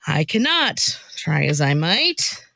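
A woman's voice: low-pitched, big pitch swings, takes it slowly, creaky, sharp tone, dry recording, clean studio sound, exaggerated voice. A woman playing a flustered, exasperated, guilt-ridden woman roused at night in a comedic radio play. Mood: guilty, exasperated. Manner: dramatic, exasperated.

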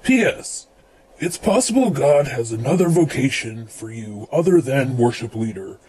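A man's voice: medium pitch, expressive, normal speed, a little mellow, natural voice. A man playing an overly eager, irreverent worship leader in a comedic radio sketch, reading letters aloud with exasperated asides. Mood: eager, deflated. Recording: clearly noisy background ambience.

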